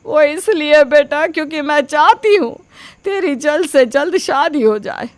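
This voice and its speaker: A woman playing a scheming, manipulative older mother in an animated moral story, theatrical and scolding. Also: high-pitched, measured pace, no echo. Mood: pleading, insistent. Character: scheming, melodramatic ageing mother nagging her son to marry.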